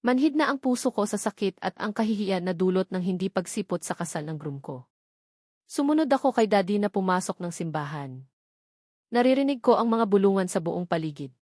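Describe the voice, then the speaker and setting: A woman's voice: medium pitch, lively intonation, brisk, a little mellow, no room sound. Filipino woman narrating a novel, dry sound. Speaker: audiobook narrator. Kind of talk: dramatic first-person novel narration.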